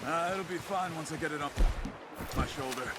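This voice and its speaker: An actor playing a cautious, thoughtful outlaw companion in a video game, a man with a measured, serious manner. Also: on the high side, noisy background, close to an everyday voice. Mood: practical. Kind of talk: video game dramatic dialogue.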